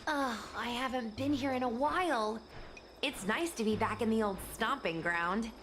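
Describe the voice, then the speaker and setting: A woman's voice: a little high, moderate pace, background noise, natural voice. A woman playing an easygoing young woman in a video game, with a wry, conversational delivery. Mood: breezy, wry.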